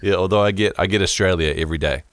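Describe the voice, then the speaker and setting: A young man from New Zealand with a mixed New Zealand and American accent, hosting a podcast in relaxed chat and laughing easily. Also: sits low, no room sound, clean studio sound. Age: young adult. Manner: relaxed, laughs often, halting restarts. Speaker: podcast host.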